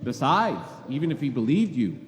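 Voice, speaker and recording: A man's voice: mid-range voice, sing-song, normal speed, close to an everyday voice. A man playing a senior angel in a radio play, a firm mentor explaining things with authority. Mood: firm, patient. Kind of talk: live radio play acting. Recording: reverberant hall, music behind.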